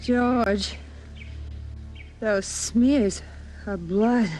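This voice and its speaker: Gentle, high-pitched radio-drama mother. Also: extremely slow. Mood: uneasy. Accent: American. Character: gentle, anxious wife and mother. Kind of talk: 1950s radio acting. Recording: old radio recording, faint music.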